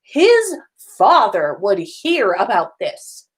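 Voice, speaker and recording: A woman's voice: medium pitch, wildly swooping pitch, takes it slowly, husky. A woman podcast host reading fan fiction aloud in an informal storytelling style.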